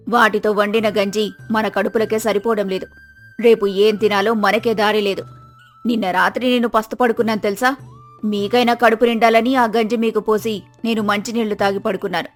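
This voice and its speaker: A woman's voice: medium pitch, lightly acted. A woman playing a poor, weary village wife in a moral story drama, scolding her husband in an exasperated, complaining manner. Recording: music behind the voice.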